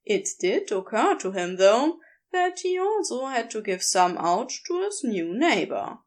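Expressive, slow-paced woman reading a story. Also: fairly high pitch. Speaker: podfic narrator. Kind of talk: scripted narration, storytelling.

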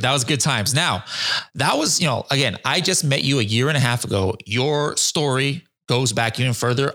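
A man's voice: medium pitch, bright, forceful tone. A man hosting a podcast interview, friendly and chatty, who drops jokey asides between questions. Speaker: podcast host.

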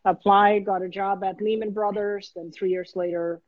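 A man's voice: high voice, normal speed, no room sound. Man telling his story, dull dry sound. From Bangladesh. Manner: earnest, steady storytelling with many small restarts. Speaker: guest.

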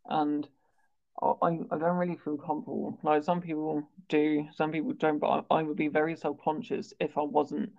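Hesitant, stammering man with creaky voice. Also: on the high side, completely flat delivery, a little mellow. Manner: hesitant, halting, repeats words and sounds. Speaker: interviewer and stammering awareness campaigner. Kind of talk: conversational interview on a video call. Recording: dull, lo-fi video call audio.

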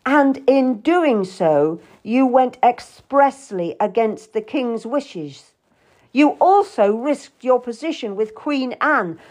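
A woman voicing an angry, cold man berating someone in an audiobook drama, stern and accusing. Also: medium pitch.

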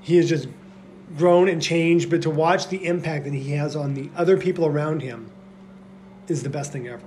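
Brief: male podcast co-host, casual and talkative, leans on fillers, somewhat high voice, faint background noise. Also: moderate pace, a little mellow. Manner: chatty, full of "like" fillers.

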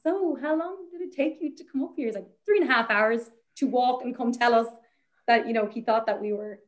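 A woman's voice: fairly high pitch, a little fast. A young Irish woman researcher, chatting as a guest and telling a story in a rambling way, with plenty of laughter and fillers. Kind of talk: casual anecdote on a podcast.

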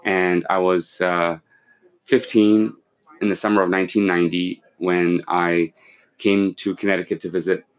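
CEO guest, forties, very low voice, steady and measured, filler-heavy. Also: male voice, clear, resonant tone. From born in Pakistan, raised in London and Kuwait. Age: 40s. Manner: measured, with many fillers and restarts. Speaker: company CEO, podcast guest. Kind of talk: casual interview, explaining business.

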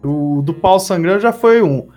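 Brazilian woman podcast host, very lively pitch, jokey casual chat, music in back. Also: normal speed.

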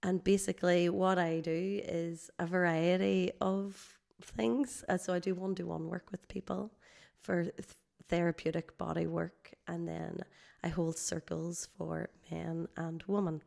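A woman guest, a holistic healer, explaining her work conversationally in a measured, reflective way, slightly hoarse from a cold. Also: fairly low pitch, flat delivery, darkish tone.

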